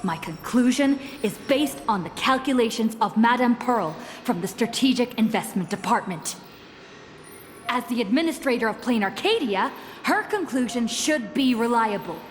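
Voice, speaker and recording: A woman's voice: a little high, lively intonation, moderate pace, natural voice. A woman playing an earnest young envoy. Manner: earnest, formal. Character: earnest young female envoy pleading before a council. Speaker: game character. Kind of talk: video game voice acting. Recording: long reverb over music.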